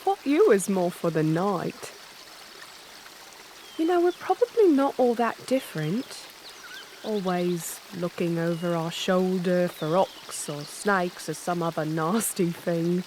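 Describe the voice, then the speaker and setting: Low, unhurried earthy country servant. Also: female voice, animated, slight background noise. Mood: gentle, musing. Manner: rustic, folksy, gentle. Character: earthy working-class young woman, wary but tender. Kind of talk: fantasy audio drama acting.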